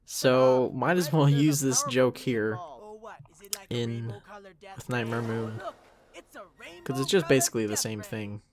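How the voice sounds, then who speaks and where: A man's voice: mid-range voice, steady tone, slow, long pauses, crowd noise. A young man giving a casual commentary track in a rambling, self-critical way, often trailing off mid-thought. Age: young adult. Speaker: creator of an animated parody series.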